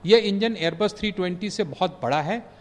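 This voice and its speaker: A man, a former pilot, giving measured expert analysis on a news channel, pausing often with fillers and mixing in English phrases. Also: sits high, in a large hall. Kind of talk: news commentary interview.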